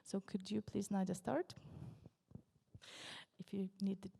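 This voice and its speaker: A woman's voice: medium pitch, steady tone, unhurried, gravelly, mellow, husky, big-room sound. A woman moderating a panel discussion, polite and a little hesitant.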